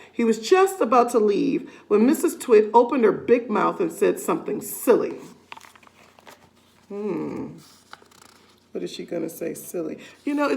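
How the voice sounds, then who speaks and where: Creaky-voiced woman reading a children's story aloud. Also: on the high side, a little mellow. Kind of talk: expressive children's story reading with casual asides.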